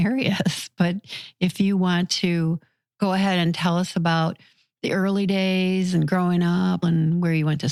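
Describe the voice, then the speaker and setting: A woman's voice: fairly low pitch, gravelly, a little mellow, no echo. An American woman hosting a podcast interview in casual conversational talk.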